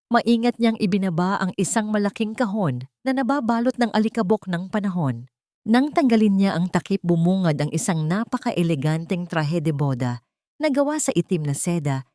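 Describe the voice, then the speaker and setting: A woman's voice: fairly low pitch, a little mellow, dry recording, clean studio sound. A woman reading a dramatic scripted story as its narrator.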